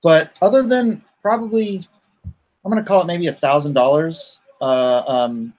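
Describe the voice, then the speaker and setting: A man's voice: a little high, measured pace, darkish tone. A middle-aged man with a nasal voice, chatting casually as the host of a livestream. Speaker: host, comic artist.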